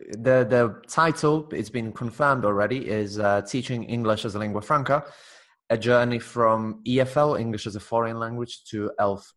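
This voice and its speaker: A man talking as an interview guest, explaining his ideas at length in a measured, earnest way. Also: medium pitch. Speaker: guest, teacher and author. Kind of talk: casual interview chat.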